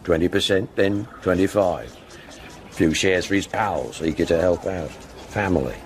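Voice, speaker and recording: A man's voice: sits low, moderate pace, slight background noise, lightly acted. A man acting in a radio drama as a world-weary, proud older club owner, speaking in wry, clipped fragments. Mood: regretful, resigned.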